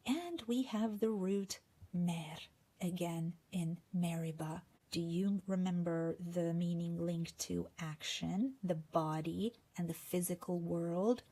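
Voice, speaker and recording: A woman's voice: fairly low pitch, mellow. A woman with a Hungarian accent giving an explanatory lesson, reading scripture passages and dropping to a whisper on key words. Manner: slow and deliberate, drops to whispers on key words. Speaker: language teacher.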